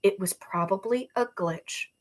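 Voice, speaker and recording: A woman voicing a cautious, worried young female squad leader in a novel reading, measured and hesitant. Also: medium pitch, natural voice. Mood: worried, doubtful.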